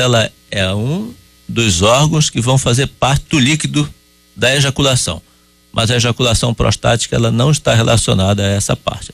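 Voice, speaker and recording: A man answering listeners' medical questions on a radio call-in show, explaining calmly and at a measured pace. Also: medium pitch, gravelly, no echo. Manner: calm, explanatory, measured with hesitations. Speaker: urologist guest. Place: radio studio.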